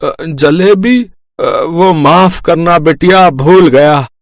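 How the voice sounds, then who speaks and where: A man's voice: on the high side, slow pace, no room sound, clean studio sound. A man voicing a poor, meek and devout village toy seller and father in a cartoon story. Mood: apologetic. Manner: meek, apologetic.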